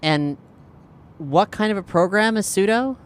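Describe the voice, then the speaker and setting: A man with a fairly high, very smooth and clear voice talks through strategy in a tabletop role-playing game. His delivery is casual and conversational. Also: lively intonation, measured pace. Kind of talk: casual game planning chat.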